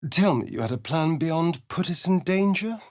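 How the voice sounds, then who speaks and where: A man's voice: on the high side, animated, normal speed, darkish tone, lightly acted. A man playing a vain, sardonic vampire rogue in a video game, with an arch, theatrical, drawling delivery. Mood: exasperated, disdainful. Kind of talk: video game character voice lines.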